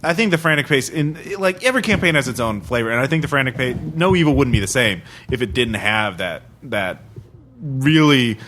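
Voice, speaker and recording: A man's voice: mid-range voice, expressive, fairly quick, a bit bright, a little hiss or hum. A man hosting a podcast discussion, speaking informally and often correcting himself mid-thought. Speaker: podcast host. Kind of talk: casual discussion.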